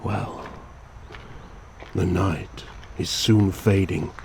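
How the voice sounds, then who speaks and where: A man playing a courteous but menacing vampire count, narrating his tale and speaking slowly, formally and calmly. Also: low-pitched, gravelly, slight background noise, exaggerated voice. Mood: gentle. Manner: slow, formal, calm and politely threatening. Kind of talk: first-person storytelling and audio drama.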